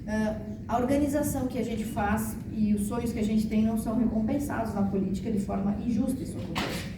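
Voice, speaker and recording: A woman from Santa Catarina with a rural Santa Catarina accent, a former state deputy giving a speech at a public event, thanking people and joking with the audience. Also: on the high side. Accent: rural Santa Catarina Portuguese. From Santa Catarina, Brazil. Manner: warm joking asides, grateful acknowledgments. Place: large council hall with audience. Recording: distant mic, echoey hall, noisy crowd.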